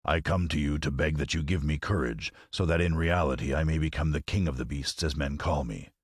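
A man's voice: very deep voice, a little fast, slightly rough, no echo, studio quality. A man voicing a cowardly lion who talks big but is timid at heart, in a storybook reading. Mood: humble, pleading.

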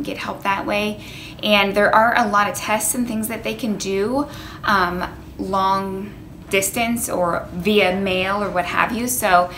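A woman's voice: medium pitch, a bit bright, slight background noise, poor audio. A woman in her thirties talking earnestly to a camera in a personal vlog, sharing her own story with many fillers. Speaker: wellness coach. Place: indoor room, plain wall behind. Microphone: camera in front, medium framing.

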